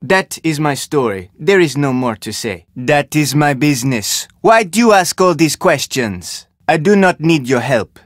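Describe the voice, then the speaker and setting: A man's voice: on the high side, expressive, measured pace, few pauses, no echo, clean studio sound. An actor playing a terse, suspicious, solitary fisherman with a Jamaican accent in a video game, speaking in formal, clipped phrases. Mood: guarded, curt. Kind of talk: video game character dialogue.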